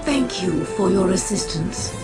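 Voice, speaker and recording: An actress playing a grave, mystical alien seer leader in a video game, formal and composed in a portentous way. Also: female voice, mid-range voice, expressive, a little slow, lightly acted. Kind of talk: dramatic video game voice acting. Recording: loud music under the voice.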